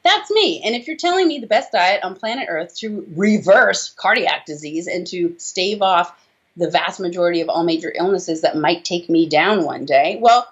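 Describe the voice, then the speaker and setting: American woman, forties, lower-pitched voice, emphatic doctor explaining, conversational, slightly muffled video-call sound. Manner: emphatic, animated explaining with rhetorical tag questions. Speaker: doctor, interview guest, author. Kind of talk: conversational interview answers on health. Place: small room indoors, on a video call. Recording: dull video-call audio.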